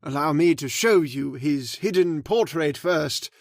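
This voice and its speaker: A man playing a solemn caretaker who slowly tells a dark family legend in an old-time radio drama. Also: fairly high pitch, no room sound, studio quality. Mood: grave. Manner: slow, ominous, measured delivery. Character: grave, solemn male storyteller of the house. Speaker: Mister Roberts, old manor caretaker telling the legend.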